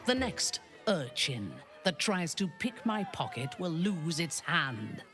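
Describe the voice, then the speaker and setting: Cold, haughty drow warrior, low-voiced. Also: female voice, very animated, slow pace, over music, exaggerated voice. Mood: disdainful. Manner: imperious, clipped, disdainful. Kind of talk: video game character dialogue.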